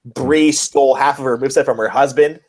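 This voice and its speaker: A man's voice: medium pitch, fairly even intonation, fairly quick, darkish tone. A man co-hosting a casual wrestling podcast, talking in a blunt, exasperated way with plenty of swearing. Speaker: podcast co-host.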